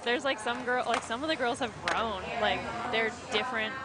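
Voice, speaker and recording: A woman's voice: a little high, normal speed, over loud music. A young woman skater as guest commentator, talking casually and hesitantly with many fillers. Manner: hesitant, filler-heavy, lots of "like". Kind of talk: casual chat. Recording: crowd noise behind.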